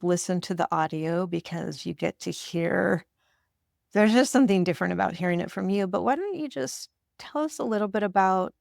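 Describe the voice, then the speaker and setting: A woman's voice: fairly low pitch, vocal fry, no echo, studio quality. A woman hosting a conversational interview, speaking in an effusive, affirming way. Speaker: podcast host interviewing a guest.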